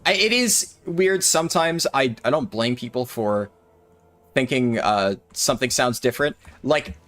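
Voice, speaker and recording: A man giving relaxed, rambling livestream commentary while playing a game and answering chat, sounding a little tired. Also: medium pitch, unhurried, soft background music. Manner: chatty, thinks aloud, answers chat. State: maybe tired or sore throat. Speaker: streamer.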